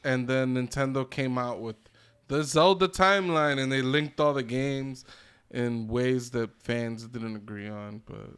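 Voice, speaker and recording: A man's voice: medium pitch, a little slow, a bit bright, clean tone. A man co-hosting a podcast, chatting casually about games and films in an opinionated, freely swearing way. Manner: opinionated, profane. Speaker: podcast co-host.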